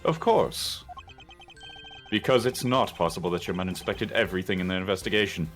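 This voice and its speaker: A man's voice: low voice, brisk, a bit bright, faint music behind. A man voicing a composed, determined prosecutor in a video game fan dub, speaking formally and dramatically. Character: composed, haughty male prosecutor, sharp and determined.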